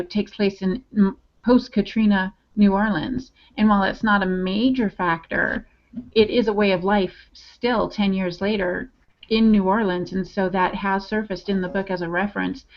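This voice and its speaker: A woman's voice: medium pitch, muffled recording. A woman speaking conversationally as a novelist and podcast co-host, explaining her points on writing.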